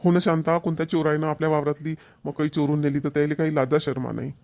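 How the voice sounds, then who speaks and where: Man voicing a higher-pitched, grumbling village farmer. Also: flat delivery, fast, gravelly, darkish tone. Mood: worried, resolute. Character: village farmer husband and father, worried, grumbling. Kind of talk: Marathi village cartoon comedy dialogue.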